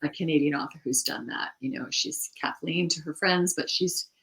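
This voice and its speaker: A middle-aged woman co-hosting a casual chat about writing, animated and quick to laugh, often restarting her thoughts. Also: deep voice, low-quality recording. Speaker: podcast co-host.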